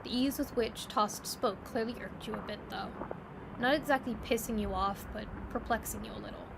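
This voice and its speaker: A woman's voice: fairly high pitch, moderate pace, creaky. A woman narrating a scripted story in the second person as the narrator of an audio drama. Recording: loud steady background ambience noise. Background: ambient noise.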